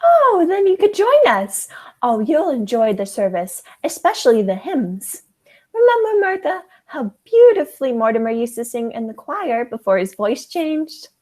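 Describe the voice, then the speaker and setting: A woman's voice: high voice, sing-song, normal speed, darkish tone. A teenage girl playing a prim, stubborn elderly aunt, sweet but indignant, in a school radio play. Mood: sweetly indignant. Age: teens.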